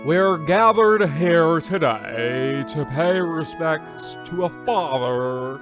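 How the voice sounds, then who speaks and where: A man playing a solemn, measured funeral officiant giving a eulogy in a comic radio play. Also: on the high side.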